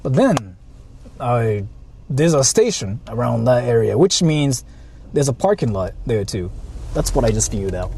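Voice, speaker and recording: A man's voice: medium pitch, sing-song, moderate pace, gravelly. A man livestreaming from a moving car, chatting casually in a rambling, repetitive way. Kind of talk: casual livestream chat while driving. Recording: loud car and road noise. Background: vehicle driving noise.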